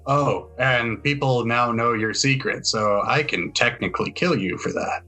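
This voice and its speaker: Slow, forceful man running a tabletop game. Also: medium pitch, faint music behind. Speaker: game master of a tabletop roleplaying podcast. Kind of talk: improvised narration and banter in a tabletop game.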